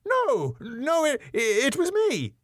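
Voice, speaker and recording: A man's voice: extremely high pitch, huge pitch swings, takes it slowly, fairly bright tone, no room sound, clean studio sound, pitched above the performer's own voice, exaggerated voice. A man voicing a flustered club owner in a sitcom, stammering, hesitant and eager to please. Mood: panicked. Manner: stammering, hesitant, over-apologetic. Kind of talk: sitcom script read aloud.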